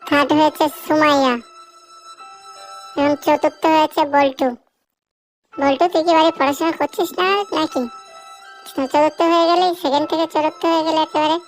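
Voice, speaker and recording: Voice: high-pitched, flat delivery, moderate pace, long pauses, faint music behind. A performer voicing a strict, bossy cartoon schoolteacher who scolds and instructs pupils in a comedy dub. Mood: announcing.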